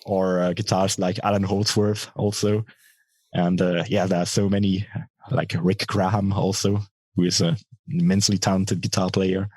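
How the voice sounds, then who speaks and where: A young man with a low, bassy voice that has a slightly husky edge, speaking as an interview guest. He answers thoughtfully, pausing often with fillers, and the sound is close and dry with little room. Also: flat delivery, a little mellow, no room sound. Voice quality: bass voice. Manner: thoughtful, hesitant with many fillers. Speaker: guitarist and vocalist, guest.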